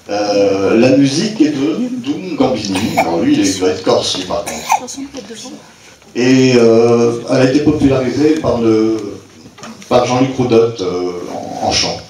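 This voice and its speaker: A man's voice: medium pitch, darkish tone, a little hiss or hum. An older man from Brittany speaking informally to a live audience at a local fête, hesitant, with many restarts, chuckling at his own jokes. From Brittany, France. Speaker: president of a rural club, speaker at a local fête. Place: community hall at a local festival. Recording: echoey hall, voice from far off.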